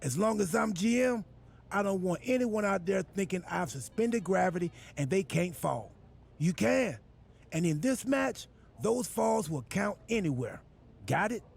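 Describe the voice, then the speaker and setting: An actor playing a quirky, self-important general manager in a wrestling video game, rambling and absurdly literal in a gravelly voice. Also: male voice, animated, a little slow, exaggerated voice. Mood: cheerfully oblivious. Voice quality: gravelly, high husky voice.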